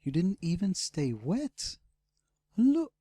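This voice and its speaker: A man voicing a wry, teasing female blue dragon in a fantasy audiobook, with an expressive manner. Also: high-pitched, takes it slowly, slightly rough, no echo, pitched above the performer's own voice, full character voice.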